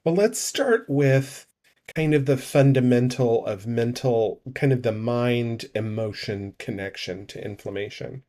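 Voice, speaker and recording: A man hosting a live online coaching show, reading an upbeat intro and then asking questions with quick affirmations. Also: mid-range voice, mellow. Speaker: show host.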